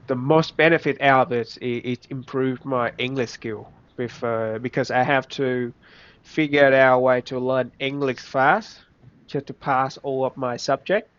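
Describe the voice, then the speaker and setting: A young man from Vietnam with a Vietnamese accent, speaking English as a second language in a casual interview, halting, with many restarts. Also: mid-range voice, steady tone, clear, resonant tone. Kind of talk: casual reflective chat. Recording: slightly dull, dry recording.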